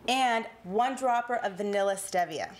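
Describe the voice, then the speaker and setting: A woman's voice: mid-range voice, lively intonation, moderate pace, slight background noise. A woman in her thirties hosting a TV cooking show in a studio kitchen, explaining each step with upbeat rhetorical questions. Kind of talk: scripted TV cooking demonstration. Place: home-style studio kitchen, indoors.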